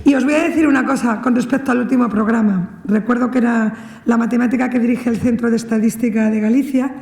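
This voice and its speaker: A woman's voice: fairly high pitch, fairly even intonation, quick pace, vocal fry, a little mellow. A woman giving an informal panel presentation in a chatty, digressive and emphatic way. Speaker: panel speaker from a science radio project. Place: indoor panel event hall. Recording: echoey hall with long reverb.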